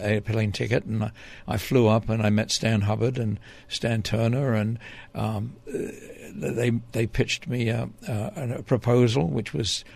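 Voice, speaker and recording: An older man with a low, gravelly voice tells long stories at an easy pace. He wanders through his memories, stopping and restarting often, filling the gaps with "uh" and "um". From England. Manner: rambling, hesitant, with many fillers and restarts. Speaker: interview guest, former TV reporter. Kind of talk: relaxed storytelling in an interview.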